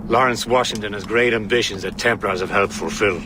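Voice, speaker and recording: A man's voice: on the low side, fairly quick, lightly acted. Man acting a grave assassin comrade. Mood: grave, resolved. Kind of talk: dramatic game cinematic acting. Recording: loud outdoor ambient noise.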